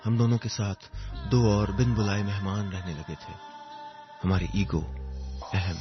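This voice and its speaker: Male storyteller, low pitch, wistful and reflective, pausing poetic phrases, slightly muffled recording, soft music behind. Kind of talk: dramatic first-person story narration. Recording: dull lo-fi audio, music underneath.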